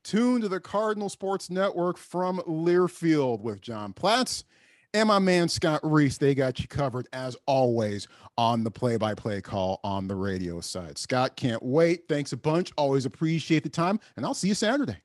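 A man's voice: a little high, animated, a little slow, no echo, clean studio sound. A man hosting a sports talk podcast, chatting conversationally and repeating words for emphasis. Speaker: podcast host.

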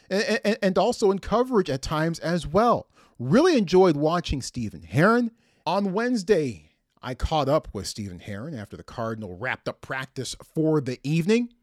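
Animated, high-voiced male sports show host. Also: no room sound, studio quality. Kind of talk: scripted sports show narration.

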